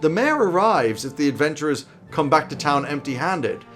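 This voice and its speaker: A man in his thirties with a fairly high voice talks casually to camera as a game advice host. He is animated and drily funny, explaining things conversationally, with light guitar music playing underneath. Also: normal speed. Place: small home office, indoors.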